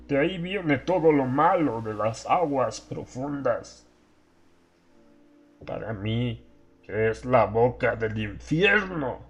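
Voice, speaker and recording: Old drunk local played by a man for horror radio drama: very slow, ominous, bitter, confiding, mid pitch. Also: lightly acted. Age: older.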